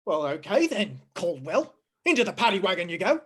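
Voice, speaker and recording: A woman playing a gruff, commanding police sergeant in a 1950s radio play, full of cop slang. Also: fairly low pitch, very animated, fairly quick, fairly bright tone, full character voice. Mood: brisk, puzzled.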